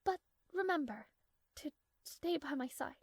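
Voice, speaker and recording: A woman's voice: high voice, animated, measured pace, no room sound. A woman playing a proud, strong-willed young princess in a romantic fantasy audio roleplay, speaking formally with haughty, flustered hesitations.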